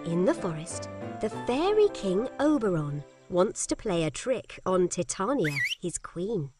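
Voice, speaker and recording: A woman narrating a children's story in simple, clearly scripted lines with expressive delivery. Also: fairly low pitch, unhurried. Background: music.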